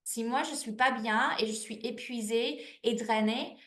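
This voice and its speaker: A woman's voice: fairly high pitch, a little slow, a bit bright. A woman in her thirties answering interview questions in a chatty, candid way, with lots of restarts and fillers. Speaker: network marketing manager, guest. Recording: echoey room.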